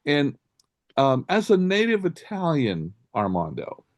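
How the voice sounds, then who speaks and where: Male panelist, halting delivery, lots of ums, deadpan jokes, close dry sound. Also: a little high, dry recording. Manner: hesitant, many "um"s, dry jokes. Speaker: music show panelist. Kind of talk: casual panel chat about albums.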